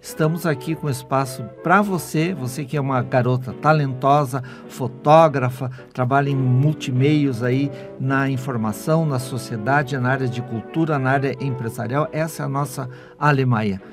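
A man's voice: medium pitch, normal speed, hardly any pauses. Older radio host, man over music. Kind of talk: conversational radio hosting. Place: small foam-padded radio studio. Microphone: studio microphone. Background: clear music.

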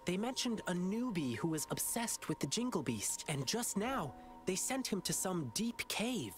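A man's voice: high voice, very animated, normal speed. A man playing a kind, earnest youthful adventurer in a video game, speaking carefully. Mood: thoughtful. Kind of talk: video game dialogue acting. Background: game music.

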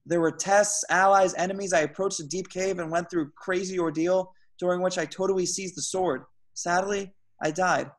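A man reading the part of a hapless, earnest young slacker hero at an amateur table read, halting and excitable. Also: high voice. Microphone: video call.

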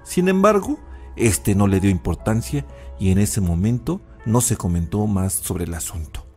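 A man acts as an old grandfather telling a spooky legend in a radio play. His voice is low and full of suspense, dramatically narrating over music. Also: expressive, moderate pace, darkish tone, close to an everyday voice. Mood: suspenseful.

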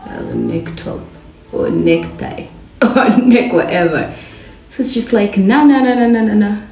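A woman's voice: mid-range voice, wildly swooping pitch, measured pace. A young woman vlogging casually as she gets ready, chatty and playful, often repeating words for fun. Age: young adult. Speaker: vlogger and home baker. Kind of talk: casual get-ready-with-me vlog chat. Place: bathroom with tiled walls and glass shower. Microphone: camera set back across the room. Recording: echoey bathroom, heard from a distance, soft music.

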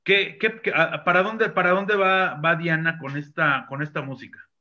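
A man's voice: fairly high pitch, steady tone, bright, forceful tone. A man in his forties interviewing a guest over a video call, asking questions casually with many restarts. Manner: halting, restarts questions, informal. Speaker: interviewer host. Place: home room with bookshelves. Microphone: video call webcam. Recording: dull lo-fi webcam audio.

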